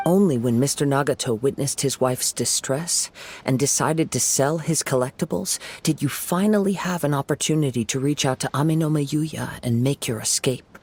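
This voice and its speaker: An actor playing a calm, perceptive young wandering samurai in a video game, speaking in a measured, earnest way. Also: male voice, mid-range voice, husky. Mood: explaining, assured. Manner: measured, earnest, gently probing. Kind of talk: video game character acting.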